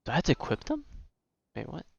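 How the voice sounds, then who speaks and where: A man's voice: medium pitch, wildly swooping pitch, fast, creaky, husky, muffled recording. A young man streaming a game with casual, slangy, reactive commentary.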